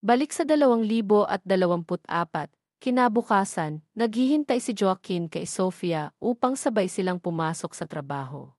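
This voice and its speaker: A Filipino woman narrates a scripted romance drama at a medium pitch. Her delivery is that of a storyteller reading prepared text, recorded very dry with no room sound around the voice. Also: lively intonation, moderate pace, a little mellow, dry recording.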